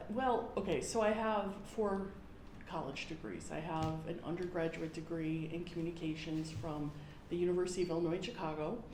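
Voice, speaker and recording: A woman's voice: on the low side, darkish tone. A woman in her forties with an American accent, speaking conversationally as an academic guest in an interview. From United States. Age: 40s. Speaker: podcast guest, university professor. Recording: roomy echo, faint background noise.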